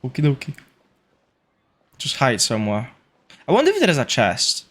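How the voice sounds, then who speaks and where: A man's voice: medium pitch, huge pitch swings, moderate pace, a bit bright, husky. A man giving casual live gameplay commentary as a streamer, offhand, as if talking to himself. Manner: offhand, muttering to self. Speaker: video game streamer.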